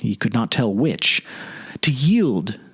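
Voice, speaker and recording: Expressive man reading a story, sweeping pitch. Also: slow, husky. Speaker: presenter and narrator. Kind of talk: dramatic literary story reading.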